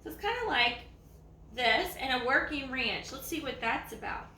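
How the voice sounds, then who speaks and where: A woman's voice: sits high, animated, moderate pace, recorded from a distance. A woman with a Southern US accent reading a children's story like a teacher, explaining and asking the young listeners questions. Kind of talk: children's story read-aloud. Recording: echoey room, faint background noise.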